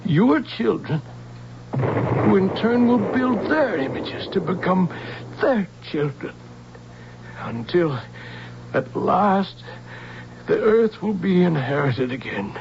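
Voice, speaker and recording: Casting: solemn dying inventor, fatherly, gravelly slow delivery, radio play, dull noisy vintage recording. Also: sits high, sing-song, exaggerated voice. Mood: solemn, visionary. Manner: solemn, halting. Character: weary, dying scientist, fatherly creator of robots, solemn. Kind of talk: old-time radio drama acting.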